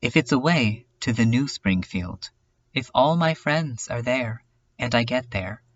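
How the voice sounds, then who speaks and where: A man's voice: mid-range voice, no room sound, lo-fi sound. A man voicing a lonely, weary cartoon girl in a reflective, wry, melancholic first-person monologue, as in a fan dub. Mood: anxious, bleak.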